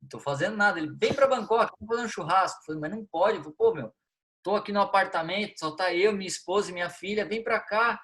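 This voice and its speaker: Brazilian guy in his 30s, podcast guest, animated storyteller acting out the lines, laughs a lot. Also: high-pitched, fast. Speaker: football coach, guest.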